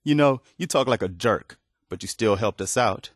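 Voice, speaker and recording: Casting: male actor as weary, earnest male militia leader for a video game; lowish voice, close dry sound, sincere and plain-spoken. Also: moderate pace, no room sound, close to an everyday voice. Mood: sincere, grateful. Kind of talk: video game dialogue acting.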